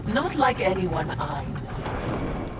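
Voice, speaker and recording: A sly, drawling spirit, distant. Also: female voice, mid-range voice, sing-song. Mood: sly mockery. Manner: slow, insinuating, teasing. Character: sly, mocking female spirit companion. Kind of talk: video game cutscene acting. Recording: distant, echoing game mix, loud ambient noise.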